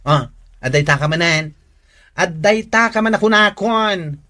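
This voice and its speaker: A woman's voice: lowish voice, measured pace, pushed and bright. A woman playing a blunt, teasing and reproachful friend in a radio drama, with an Ilocano accent. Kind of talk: Filipino radio drama acting.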